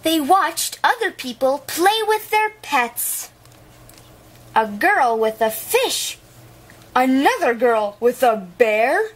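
A woman reading a children's picture book aloud in an animated storytelling style. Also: sits high, slow.

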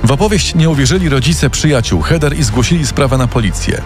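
A man's voice: medium pitch, animated, quick pace. A man reading a scripted true-crime story as a podcast narrator, recorded as a studio voice-over. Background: music.

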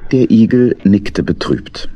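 A man's voice: on the low side, animated, takes it slowly, mellow, slightly rough, muffled recording. A man narrating a scripted children's story in German as the storyteller of an audio play.

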